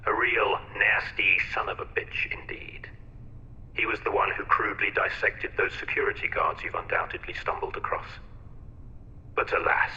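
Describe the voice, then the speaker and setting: A man playing an eccentric, sinister chief surgeon in a video game, speaking with florid, mocking courtesy in a breathy half-whisper. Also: fairly low pitch, sharp tone, lo-fi sound, heavily acted. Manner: theatrical, florid, mocking politeness. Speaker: game voice actor. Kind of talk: video game cutscene acting. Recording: echoey intercom in a reverberant hall.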